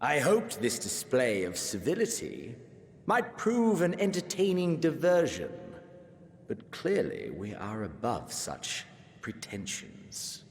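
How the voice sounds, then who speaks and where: Slow, menacing warrior prince. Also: male voice, mid-range voice, wildly swooping pitch, exaggerated voice. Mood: cold disdain. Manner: theatrical, slow, grandiose phrasing with breathy hushes. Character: menacing, refined warrior prince obsessed with a rival. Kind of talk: dramatic video game cutscene acting. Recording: loud music behind the voice. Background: dramatic game music.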